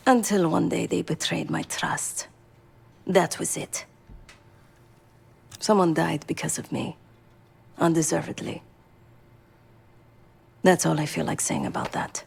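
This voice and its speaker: A hardened, guarded assassin in a video game. Her voice is low and extremely creaky, and she speaks tersely, giving little away. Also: steady tone, measured pace, long pauses, full character voice. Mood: guarded, regretful. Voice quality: very creaky, husky. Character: guarded, hardened female assassin raised by criminals. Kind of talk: video game dialogue acting.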